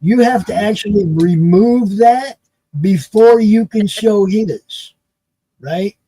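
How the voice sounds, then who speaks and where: A man's voice: high voice, slow pace, warm tone, no echo, rough recording. A man in his early sixties chatting casually as the host of a streaming panel show, often repeating his phrases as he explains things. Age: early 60s.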